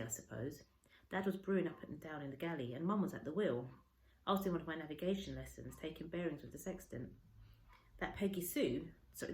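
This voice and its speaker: A woman teacher reading a children's storybook aloud with an expressive, dramatic storytelling delivery. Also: sits low, reverberant, poor audio. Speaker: schoolteacher.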